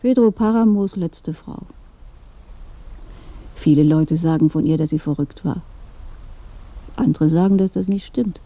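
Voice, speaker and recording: A woman's voice: lowish voice, a little slow, pauses often, no echo. An actress playing an old dead woman who gossips knowingly and matter-of-factly from her grave in a radio play. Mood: curious, gossipy. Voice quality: creaky, mellow. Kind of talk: German radio play dialogue.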